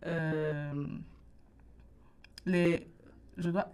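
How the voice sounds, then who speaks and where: Brief: teenage woman, very low, flat delivery, soft-spoken radio guest. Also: drawn-out words, smooth. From Burkina Faso. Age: late teens. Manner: soft-spoken, searches for words. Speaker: interview guest. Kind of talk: radio interview. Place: radio studio.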